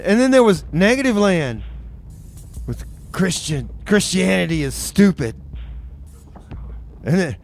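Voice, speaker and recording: A man's voice: on the high side, slight background noise. A man hosting a casual radio show as DJ, bantering with an exasperated, chuckling manner. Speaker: radio DJ host.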